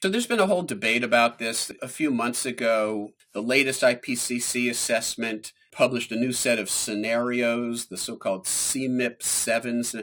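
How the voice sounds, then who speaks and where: A man speaking as a scientist guest in an interview, explaining in a measured way and stressing key points. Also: mid-range voice, a bit bright.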